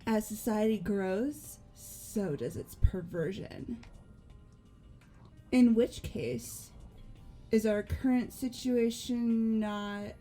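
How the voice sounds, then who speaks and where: A woman playing a poised, coolly resigned young woman in a fan-voiced visual novel, speaking formally and deliberately. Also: medium pitch, mellow, soft background music, rough recording. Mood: cool, composed.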